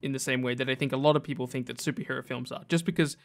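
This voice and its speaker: A man's voice: mid-range voice, fairly even intonation, racing. A man hosting a gaming list video, giving casual, opinionated commentary in a conversational way.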